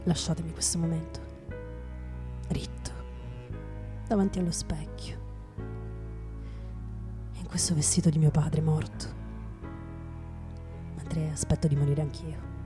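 A woman playing a hard-drinking male poet, bitter and sardonic, in a halting theatrical radio monologue that drops into whispers. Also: deep voice, steady tone. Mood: bitter, wry. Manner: halting, dramatic, repeats words, drops into whispers. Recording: music behind the voice.

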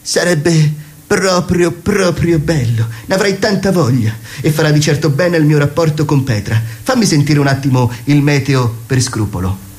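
A man's voice: on the high side, lively intonation, normal speed, a little mellow, natural voice. A man playing a flustered, gloomy doctor in a radio theatre parody, exclamatory and plaintive. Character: flustered, gloomy male poet-doctor with marital woes.

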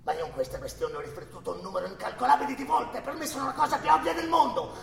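A man playing a nervous, obsequious long-time defendant in a radio drama, stammering and repeating himself as he swings from furtive murmurs to shouts. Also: fast, exaggerated voice. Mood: condescending, intense. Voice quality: shrill when agitated, dropping to a creaky murmur. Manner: pleading, stammering, repeating words, swinging between whispers and shouts. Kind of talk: theatrical radio drama acting. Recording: echoey stage, distant mic, background noise.

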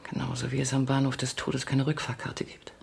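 An actress playing a seductive, world-weary, proudly fatalistic condemned spy in a German radio play, speaking theatrically and dropping into murmurs. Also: female voice, a bass voice, completely flat delivery, brisk, vocal fry, slightly rough, heavily acted. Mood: wistful, resigned.

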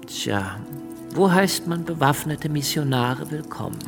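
An actor in a German radio drama playing a principled, suspicious revolutionary politician who speaks deliberately and emphatically. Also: male voice, on the high side, expressive, vocal fry, over music. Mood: grave, warning.

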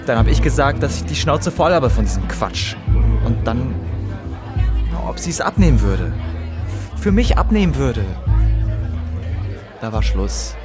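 An actor playing a sullen, defensive young boyfriend in a radio drama, speaking haltingly. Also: male voice, medium pitch. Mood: defensive, bitter. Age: young adult. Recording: lively crowd and music behind.

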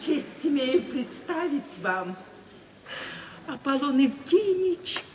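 Sly society lady, high and swooping, teasing, theatrical; distant vintage radio sound. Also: very animated, takes it slowly, heavily acted. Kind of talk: 1950s Russian radio stage comedy acting. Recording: distant, long-reverb 1950s radio recording.

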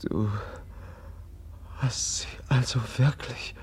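An actor playing a gentle, troubled, frail man in a German radio drama, faltering and anxious. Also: mid-range voice, fairly even intonation, slightly rough. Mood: dazed, faltering. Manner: halting, faltering, repeats words.

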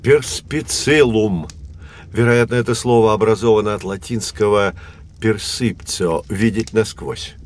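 An actor playing a learned, patient guide who explains science to children in an educational audio drama, in a measured, didactic way. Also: male voice, lowish voice, a little hiss or hum, natural voice. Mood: explaining.

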